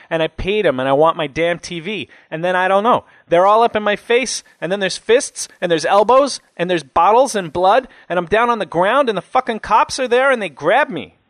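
A man's voice: high voice, a bit bright, dry recording, pitched above the performer's own voice. A man voicing an angry, foul-mouthed jailed man in his late twenties, ranting and agitated in an audiobook story. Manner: agitated, ranting, heavy swearing.

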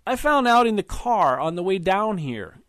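A loud, slurring, drunk young Scandinavian man, as a male storyteller puts him on, pitched well above an ordinary speaking voice and swooping up and down as he rambles. He sounds boastful and jealous, and the recording is close and dry with no room sound. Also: very animated, moderate pace, dry recording. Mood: drunk, indignant.

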